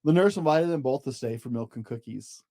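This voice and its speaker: A storyteller reading a children's picture book aloud in an expressive, gentle way, as if to young children. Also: medium pitch, brisk.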